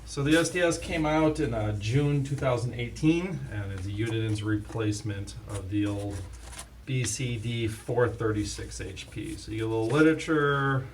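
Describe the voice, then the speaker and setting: A man's voice: medium pitch, normal speed. A man hosting a casual, unscripted product demonstration, talking like an in-house expert. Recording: distant mic, faint background noise.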